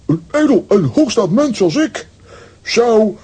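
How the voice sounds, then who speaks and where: A very raspy, dull-toned buffoon, vain and pompous. He speaks slowly and with wounded dignity, as in a children's audio play. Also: high voice, sing-song, dark tone, full character voice. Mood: humiliated, pompous. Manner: pompous, indignant. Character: pompous, vain, foolish man Lambiek.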